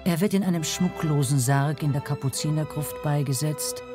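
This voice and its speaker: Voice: mid-range voice, normal speed. A narrator reading a scripted documentary narration. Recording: music bed under narration. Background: music.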